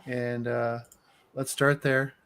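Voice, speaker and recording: A man in his forties talks in a loose, chatty way during a live software demo. He jokes, trails off and restarts his sentences as he clicks through his work. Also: mid-range voice, little pitch movement, takes it slowly, clean tone. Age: 40s. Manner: chatty and joking, many fillers and restarts. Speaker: engineer demoing his software on a livestream. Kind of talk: casual livestream walkthrough.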